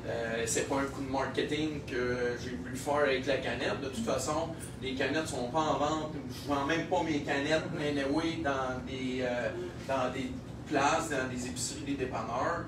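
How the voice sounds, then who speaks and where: A man from Quebec with a Québécois accent, a microbrewery owner giving an animated speech at a local launch event, with many fillers. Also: mid-range voice, moderate pace. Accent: Québécois French. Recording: echoey room, heard from a distance, noisy.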